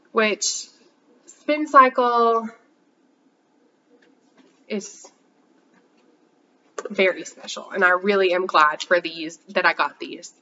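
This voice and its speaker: Congested chatty woman, bright voice. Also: medium pitch, dull audio. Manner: chatty, rambling, many fillers. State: congested from a cold. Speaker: podcast host. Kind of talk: casual chat showing yarn haul.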